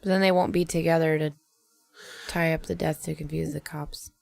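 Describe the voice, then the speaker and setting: A woman's voice: low voice, steady tone, normal speed, vocal fry, a little mellow, dry recording. A woman co-hosting a podcast, chatting casually and tossing in ideas in a blunt, offhand, sweary way. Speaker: podcast co-host. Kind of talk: casual improvised brainstorming chat.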